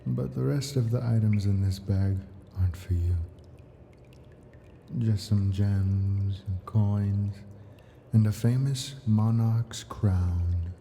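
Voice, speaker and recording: Man voicing a dark-voiced, possessive ancient dragon. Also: on the low side, fairly even intonation, takes it slowly, creaky, a little hiss or hum. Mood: fond, weary. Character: ancient male dragon, possessive, doting, wounded hoarder of treasures. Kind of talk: slow intimate ASMR audio roleplay.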